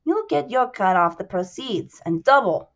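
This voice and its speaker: A woman voicing a male con man posing as a mobster with a put-on Italian-American accent, low and friendly yet menacing. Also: sing-song, normal speed, dull audio. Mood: conspiratorial.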